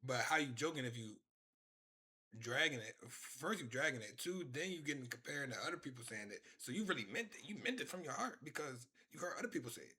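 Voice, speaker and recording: A man's voice: medium pitch, expressive, fast, bright, forceful tone, husky. A man in his twenties chatting casually on a live stream, rambling and repeating himself as he talks to his chat from his room. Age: 20s.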